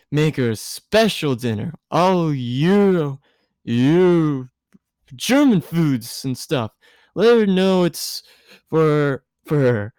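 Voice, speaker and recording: A man's voice: a little high, a little mellow, clean tone, no echo, clean studio sound, pitched above the performer's own voice. A man voicing a tipsy, drowsy woman guardian who rambles and teases with slurred, emphatic speech in a story reading. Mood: teasing.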